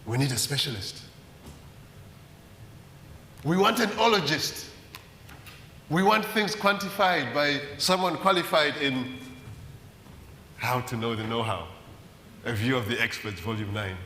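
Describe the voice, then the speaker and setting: A male poet recites in an echoing hall with faint noise behind him. His delivery is slow, deliberate and rhythmic, with dramatic emphasis on the wordplay. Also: medium pitch, sharp tone. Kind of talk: performance poetry recital. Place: large reverberant hall at a live event.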